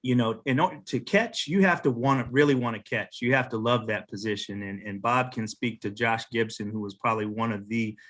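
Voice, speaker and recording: A man with a medium-pitched voice speaks as a mentor on a panel. His delivery is earnest, encouraging and conversational, with deliberate phrasing. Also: steady tone, fairly bright tone. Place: virtual panel over video call.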